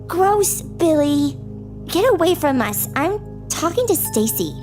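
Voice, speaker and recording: Actress doing a scornful schoolgirl in an audio drama; squeaky-high, lively pitch. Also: takes it slowly, faint music behind, full character voice. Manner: scornful, bratty. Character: snooty mean schoolgirl, bossy and scornful.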